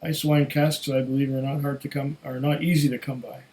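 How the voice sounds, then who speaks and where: A man with a slightly hoarse voice giving a casual solo tasting review, musing in an unhurried, savoring way and talking to himself. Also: medium pitch, little pitch movement, darkish tone, low-quality recording. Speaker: whisky reviewer.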